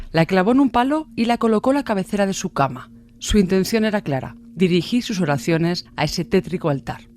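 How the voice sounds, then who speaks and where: A man's voice: a little high, a little mellow. A man reading scripted true-crime narration.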